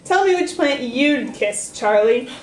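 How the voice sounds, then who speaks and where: A woman's voice: sits high, animated, unhurried. A woman voicing a bratty, insulting sister in a comic story, snide and exclamatory. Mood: demanding, blunt. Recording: roomy echo with noise.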